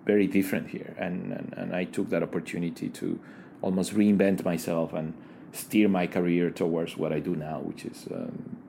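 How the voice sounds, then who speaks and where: Low-voiced man. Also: flat delivery, creaky, dark tone, slight background noise. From Ecuador. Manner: thoughtful, halting, many fillers and restarts. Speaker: guest, digital design leader. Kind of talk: casual interview conversation.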